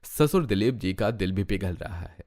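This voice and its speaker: A man's voice: deep voice, lively intonation, moderate pace. A man reading scripted narration for a cartoon story.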